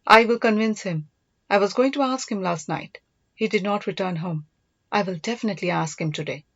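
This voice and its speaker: A woman's voice: medium pitch, brisk, dull audio, natural voice. A woman voicing a devoted, earnest young prince and older brother in an audiobook, reassuring and teasing. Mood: determined.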